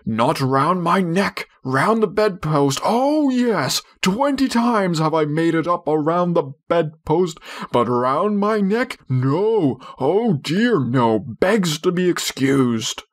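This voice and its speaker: A man's voice: on the high side, animated, slow pace, hardly any pauses, pitched above the performer's own voice. A man voicing a melodramatic, pompous middle-aged father from a storybook, emphatic and sarcastic in his delivery. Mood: sarcastic outrage.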